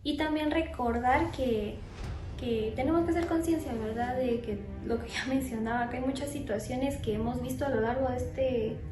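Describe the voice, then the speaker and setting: A woman's voice: high voice, normal speed. A woman co-hosting a casual chat in a conversational way, asking questions. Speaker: co-host. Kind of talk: casual chat, closing segment. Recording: echoey room with music.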